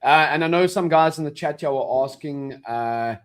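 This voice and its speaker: A man with a South African accent talks casually on a live trading stream. His delivery is relaxed and conversational, full of quick agreements and "bro". He sounds like a regular co-host checking in with his partner. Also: fairly high pitch, clean tone. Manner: relaxed, says bro and yeah often.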